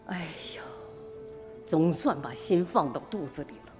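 A woman's voice: deep voice, animated, measured pace, mellow, in a large hall, soft background music. An actress playing a principled rural mother in a radio drama, firm and admonishing toward her son. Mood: stern.

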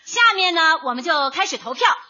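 An actress playing an encouraging, effusive neighborhood committee director rallying residents at a meeting in a light comedy radio drama. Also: female voice, very high voice, sing-song, a little fast, sharp tone, exaggerated voice. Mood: brisk, chairing. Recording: dull sound, faint noise, crowd behind.

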